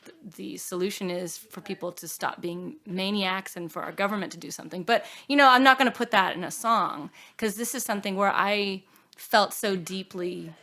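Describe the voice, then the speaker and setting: A woman speaking as a singer-songwriter guest in a reflective interview, earnest and halting, often trailing off or quoting her own thoughts. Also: mid-range voice, a bit bright. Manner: earnest, halting, with self-quotes and trailing phrases.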